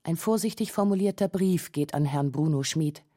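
A woman's voice: low-pitched, moderate pace, warm tone, dry recording, studio quality. A woman reading scripted narration for a radio documentary feature.

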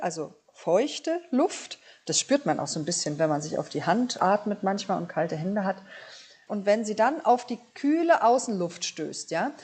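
A woman's voice: medium pitch, darkish tone. A woman with a mid-range voice speaks as an expert guest. She explains science for children in everyday language, taking it one step at a time and making each point plain. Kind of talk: explanatory interview answers for children.

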